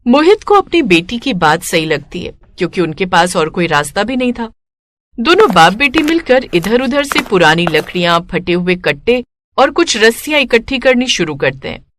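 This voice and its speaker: Animated woman storyteller. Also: mid-range voice, normal speed, dry recording. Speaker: narrator. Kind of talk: scripted story narration.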